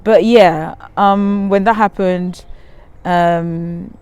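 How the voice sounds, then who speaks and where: Low-voiced woman vlogging outdoors. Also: fairly even intonation, extremely slow, a little mellow, smooth. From Cameroon. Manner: candid, rambling, many ums and restarts. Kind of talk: casual storytime monologue. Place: outdoors in nature by a river. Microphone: handheld camera at arm's length.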